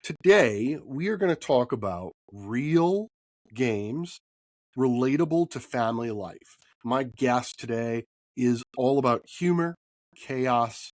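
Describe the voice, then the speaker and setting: A man hosts a podcast while getting over a cold, which leaves his voice husky. His pitch sits in the middle range for a man. The sound is dry, with little room behind it. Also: no echo. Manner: upbeat, often adds "right?". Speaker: podcast host. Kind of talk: casual podcast interview.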